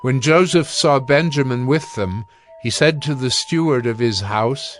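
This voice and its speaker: A man narrating a dramatized Bible reading in a steady, measured way. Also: mid-range voice, faint music behind.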